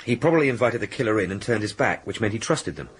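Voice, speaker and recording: An actor playing a diligent, deferential police sergeant in a radio drama, reporting in an earnest, matter-of-fact way. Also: male voice, lowish voice, animated, fast, slight background noise, lightly acted. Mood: earnest, dutiful. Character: deferential, diligent male police sergeant, junior to his boss.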